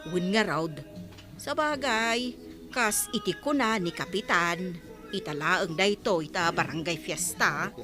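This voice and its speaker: A woman's voice: fairly low pitch, slow pace. A woman playing a village official in an audio drama, gossiping in a reasonable, resigned way. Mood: resigned chatting. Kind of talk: Ilocano radio-style audio drama acting. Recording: music bed behind the voice.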